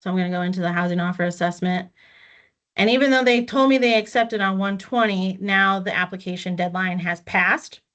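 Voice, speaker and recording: A woman's voice: mid-range voice, fairly quick, fairly bright tone. A woman trainer patiently walking staff through a records system step by step on a video call. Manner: patient, methodical step-by-step explaining. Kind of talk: online training walkthrough. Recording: dull lo-fi audio.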